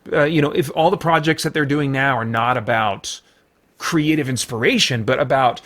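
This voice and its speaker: A middle-aged man with a slightly high voice talks through an off-the-cuff review. He is hesitant and rambling, often doubling back to rephrase what he has started to say. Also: fairly bright tone. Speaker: movie reviewer and host. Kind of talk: casual unscripted spoken movie review.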